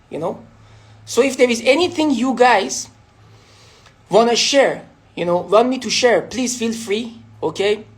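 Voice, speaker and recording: Persian-accented woman rambling on a phone live stream, "you know" every other phrase, casual pep talk. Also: mid-range voice. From Iran. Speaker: entrepreneur hosting a live stream.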